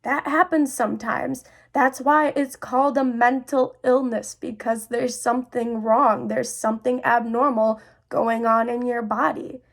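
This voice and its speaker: A woman's voice: fairly high pitch, fairly even intonation, unhurried, vocal fry. A young woman in her twenties speaking casually and personally to viewers as a vlog host, in a reassuring, conversational manner. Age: 20s.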